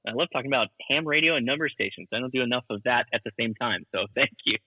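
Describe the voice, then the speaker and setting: A man's voice: medium pitch, fast, husky. A man speaking as an interview guest and educator, explaining things informally with everyday examples. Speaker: guest, ham radio educator. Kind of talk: casual explanatory interview talk. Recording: tinny, phone-like call audio.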